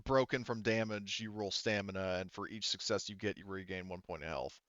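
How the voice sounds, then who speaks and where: A man's voice: deep voice, brisk, fairly bright tone, no echo. Man running a game, dull dry audio. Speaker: game master. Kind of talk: casual talk running an online tabletop roleplaying game.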